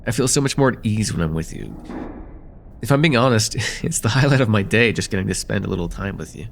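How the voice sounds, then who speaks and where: A man playing an affectionate, teasing young chemistry student in a casual, intimate one-sided roleplay, talking with hesitant, soft-hearted banter. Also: lowish voice, animated, fast, gravelly, slight background noise. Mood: tender, sincere. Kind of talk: slice-of-life ASMR roleplay, intimate one-sided dialogue.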